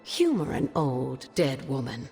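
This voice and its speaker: A woman playing the ghost of an old witch mentor in a video game, doting, cryptic and teasing, in an unhurried way. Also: extremely low pitch, wildly swooping pitch, dark tone, exaggerated voice. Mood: sly, wistful. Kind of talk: video game cutscene acting. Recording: music behind the voice.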